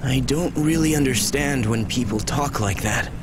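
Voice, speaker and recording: A man's voice: mid-range voice, moderate pace, background noise, close to an everyday voice. A man playing a confused, lovelorn, childlike old soul in a video game, speaking earnestly and naively. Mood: wistful, confused. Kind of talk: video game dialogue acting.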